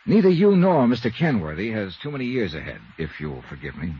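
An American actor playing a brisk, wry, hard-boiled insurance investigator in a 1950s radio detective drama. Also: male voice, lowish voice, big pitch swings, breathy edge, muffled recording, heavily acted. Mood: probing. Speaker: investigator narrator and lead. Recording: vintage radio recording, band-limited, music underscoring.

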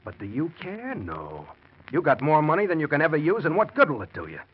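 A man's voice: a little high, huge pitch swings, a little fast, breathy edge, heavily acted. A man playing a desperate, scheming husband who tells of his crime in slangy, hard-boiled 1940s radio drama style. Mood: angry, bitter. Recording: muffled, noisy 1940s radio recording.

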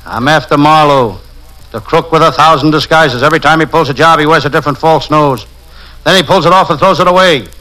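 An actor playing a wisecracking parody private detective, narrating gags deadpan in old-time radio comedy style. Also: male voice, fairly high pitch, brisk. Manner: deadpan gag-telling narration. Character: wisecracking hard-boiled parody private detective, adult man. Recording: noisy, crackling vintage radio recording.

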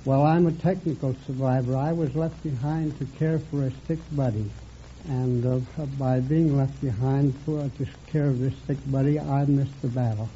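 A man's voice: medium pitch, steady tone, moderate pace, warm tone. An American man in his nineties answering a quiz show host politely and matter-of-factly as a contestant. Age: very elderly, nineties. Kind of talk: plain storytelling in interview. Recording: muffled vintage radio recording, faint noise.

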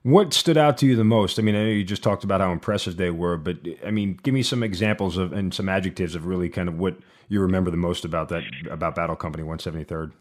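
Male host interviewer. Fast-paced, probing, keen on follow-ups, with a lowish voice. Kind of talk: interview questions.

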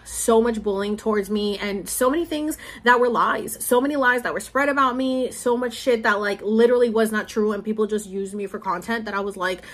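A woman's voice: medium pitch, fairly quick, hardly any pauses. A young woman from Puerto Rico giving a rambling, filler-heavy monologue update, repeating herself for emphasis. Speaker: spiritual vlogger.

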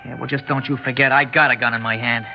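A man's voice: mid-range voice, fairly even intonation, quick pace, gravelly, a little hiss or hum, natural voice. An actor playing a cocky, jumpy young gunman with tough, slangy threats in an old-time radio drama. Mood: edgy. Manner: tough slangy street talk, barked threats. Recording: muffled old radio recording.

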